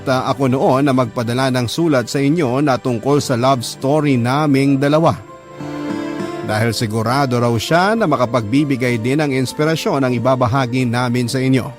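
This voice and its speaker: A Filipino man hosts a radio-style love story program, reading a letter aloud. His voice is mid-pitched, the delivery scripted and dramatic, and music plays clearly behind him.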